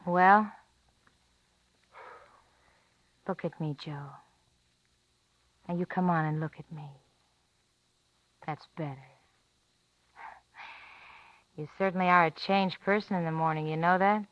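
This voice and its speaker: A woman's voice: lowish voice, steady tone, moderate pace, pauses often, dry recording, lightly acted. An actress playing a coaxing, possessive woman lover on the run with her man in a 1950s radio drama. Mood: cool, teasing. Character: coaxing, possessive young female lover and getaway companion. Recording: noisy, dull 1950s radio recording.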